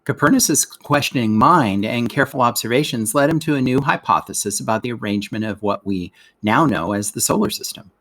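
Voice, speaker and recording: A man reads a children's educational text aloud in the role of a teacher. His intonation is lively and varied, rising and falling freely. He explains as he goes, leaning on important words to guide young listeners. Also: medium pitch, a little fast, few pauses. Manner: explanatory, poses rhetorical questions, stresses key words. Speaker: teacher reading a lesson aloud. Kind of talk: educational read-aloud of scripted narration for children.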